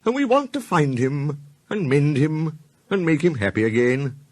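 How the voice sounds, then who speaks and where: A man playing a kindly clergyman in a children's storybook fan dub, encouraging and gently admonishing. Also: fairly high pitch, very animated, a little slow, no echo. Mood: earnest, kindly. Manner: encouraging, indulgent.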